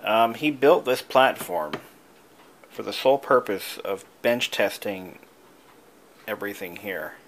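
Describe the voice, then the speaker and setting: A man giving a casual, unscripted show-and-tell as a hobbyist, thinking aloud in a musing, halting way. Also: fairly low pitch, expressive, fairly bright tone, slight background noise. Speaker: hobbyist showing an old device he is restoring.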